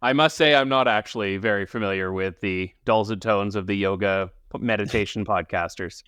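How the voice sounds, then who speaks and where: Man, talk radio host, smooth clear voice, articulate, wry, dry close mic. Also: on the low side, brisk, a bit bright, no room sound. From Canada. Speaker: radio talk show host, podcast guest. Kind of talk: casual panel chat.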